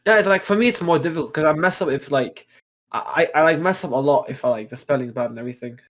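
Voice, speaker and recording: Male, slightly high pitch, informal chat. Halting, repetitive phrasing, heavy on fillers. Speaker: participant in a script table read. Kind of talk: casual chat.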